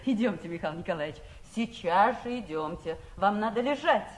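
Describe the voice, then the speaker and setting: Radio drama: woman doctor played by an actress, gently commanding, brisk, very lively intonation. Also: mid-range voice, close to an everyday voice. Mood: caring, insistent. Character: caring, firm woman doctor. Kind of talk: 1960s Soviet radio play acting. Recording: muffled 1967 radio theatre recording.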